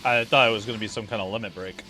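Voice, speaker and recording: A man livestreaming a video game, reacting casually in a jokey, excitable way. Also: fairly low pitch, racing, soft background music. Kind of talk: casual live reaction commentary.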